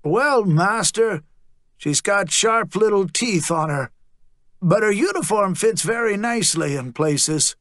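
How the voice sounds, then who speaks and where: A coarse, servile male sergeant with a rough way of talking, bowing and scraping to his betters, as a storybook narrator puts him on. His voice sits a touch high and is recorded close and dry. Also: animated, measured pace, a bit bright, no room sound, exaggerated voice. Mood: leering. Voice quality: rough, coarse working-class speech. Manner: servile, placating, wheedling. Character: fawning rough-spoken male city watch sergeant.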